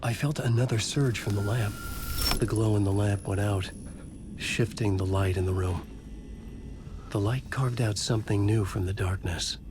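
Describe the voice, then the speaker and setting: A man acting out a haunted writer in a dark video game, brooding yet determined. His low voice is grave and terse, set against clearly audible ambient noise. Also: steady tone, normal speed, close to an everyday voice. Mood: brooding, wondering. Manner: terse, grave noir-like delivery. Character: troubled, trapped male writer, brooding and determined.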